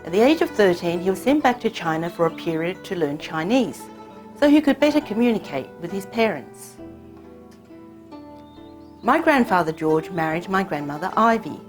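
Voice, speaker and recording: A woman raised in Hong Kong whose first language is Cantonese, telling her family's story in measured, reflective scripted narration. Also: on the low side. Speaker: narrator of her own short film.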